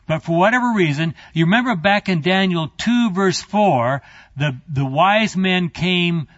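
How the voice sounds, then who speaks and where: A man's voice: high voice, measured pace, dry recording. Man giving a chatty, digressive Bible lecture. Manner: conversational, digressive, with asides to the class. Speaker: Bible teacher. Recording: dry, slightly muffled recording.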